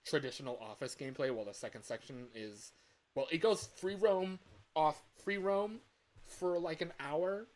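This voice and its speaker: A man's voice: a little high, moderate pace, darkish tone. A man chatting casually as a livestreamer and hobby game developer, rambling and repeating his phrases as he goes.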